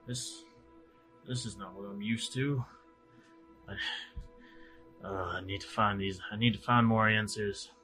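A man's voice: on the low side, slow pace, pauses often, pushed and bright. A man playing a tabletop role-playing game, speaking for his character and casually narrating its actions. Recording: music playing under the voice. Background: background music.

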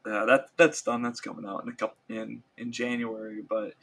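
A man co-hosting a podcast in casual discussion, often repeating words haltingly as he works through his explanations. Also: fairly low pitch, little pitch movement, gravelly, fairly bright tone, slightly rough. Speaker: podcast co-host. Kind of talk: casual pop culture discussion.

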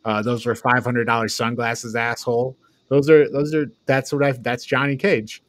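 A man chats on a review podcast in a mid-pitched voice, recorded very dry. He speaks informally and loosely, as one panelist among others. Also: little pitch movement, normal speed, no echo. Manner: informal, prone to chuckling and swearing. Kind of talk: casual discussion.

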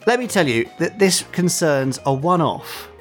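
A man's voice: fairly high pitch, sing-song, unhurried, faint music behind. A man hosting a podcast, telling trivia in a chatty, playful, bantering way. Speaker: podcast host. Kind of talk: chatty trivia segment, semi-scripted storytelling.

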